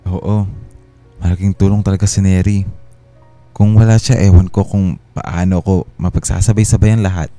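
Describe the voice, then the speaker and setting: A man's voice: low-pitched, steady tone, normal speed, warm tone, soft background music, natural voice. An actor playing an affable, oblivious husband and father in a radio drama, casual, affectionate and easily distracted.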